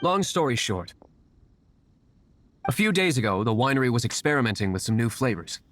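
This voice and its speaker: A man playing a composed, brooding young aristocrat in a video game, speaking formally and in a measured way. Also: mid-range voice, big pitch swings, fairly bright tone, soft background music. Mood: explaining. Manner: formal, measured, well-spoken. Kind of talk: video game character acting.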